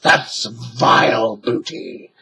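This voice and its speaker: A man voicing a big, mean, greedy pirate captain with a slow growling roar. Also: mid-range voice, monotone, pushed and bright, breathy edge, full character voice.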